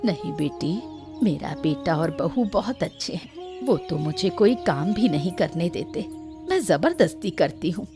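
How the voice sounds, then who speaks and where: A woman plays a long-suffering elderly mother in a story drama. Her voice sits lowish and swings expressively as she pleads humbly, with clear music underneath. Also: big pitch swings, a little slow. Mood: gentle, stoic. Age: older. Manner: pleading, self-effacing. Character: meek, long-suffering old mother-in-law, devoted to her son. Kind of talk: Hindi audio story acting.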